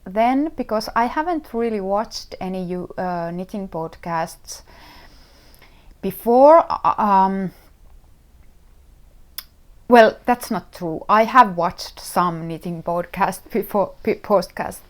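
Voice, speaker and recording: A Finnish-accented woman in middle age talks through her own knitting podcast at a slow, unhurried pace. Her voice sits at an ordinary middle pitch, and she stops often with fillers, restarting sentences as she hunts for the right English word. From Finland. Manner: hesitant, many fillers and restarts, searching for words. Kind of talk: casual solo podcast talk.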